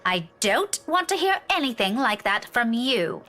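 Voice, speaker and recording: High, fussy, anxious young teacher. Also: female voice, big pitch swings, unhurried, pushed and bright, exaggerated voice. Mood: indignant, suspicious. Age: young adult. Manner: urgent, insistent. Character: anxious, fussy young woman schoolteacher, sharp-tongued worrier. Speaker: game voice actor. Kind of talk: dramatic visual novel dialogue. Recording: music behind the voice.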